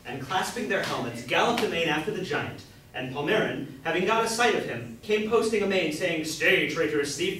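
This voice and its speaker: A man's voice: high voice, fairly bright tone. A man playing an earnest young grocer's apprentice, grandly reciting a chivalric romance in a staged reading. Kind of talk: amateur staged reading of a period comedy. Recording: echoey room from far off, faint noise.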